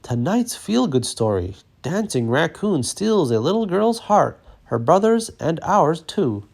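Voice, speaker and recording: Cheery storybook TV newsreader, played by a man, raised pitch, bouncy announcing. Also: sing-song, a little slow, creaky, darkish tone, husky, exaggerated voice. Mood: upbeat. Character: cheery television news announcer for animals. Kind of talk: news announcing.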